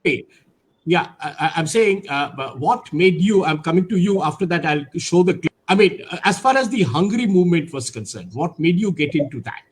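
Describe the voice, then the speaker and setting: A man hosting an interview, asking questions haltingly with many fillers and restarts over a video call. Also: fairly high pitch, animated, slightly rough. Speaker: host.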